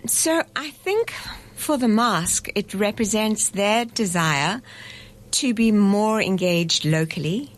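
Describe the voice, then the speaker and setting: A woman being interviewed in a radio studio as a festival director, explaining things step by step in a chatty way and laughing easily. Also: medium pitch. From South Africa.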